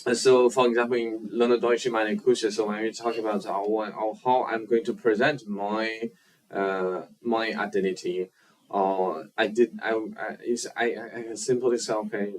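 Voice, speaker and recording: A filmmaker from China, a native Chinese speaker, answering interview questions in English with many pauses, fillers and restarts. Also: male voice, fairly low pitch, fairly even intonation. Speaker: filmmaker guest. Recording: distant from the mic.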